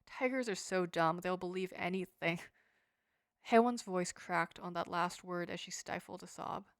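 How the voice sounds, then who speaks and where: A woman reading a short story aloud in a quiet, steady, restrained way. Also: fairly low pitch, unhurried, dry recording, clean studio sound. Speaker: story narrator. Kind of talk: scripted narration of a short story.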